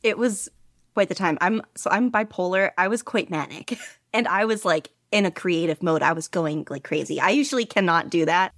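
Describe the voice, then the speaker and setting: A woman's voice: on the low side, moderate pace, a bit bright, no room sound, studio quality. A woman guest chatting casually in an interview, rambling with lots of fillers and frequent giggles. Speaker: podfic reader and guest.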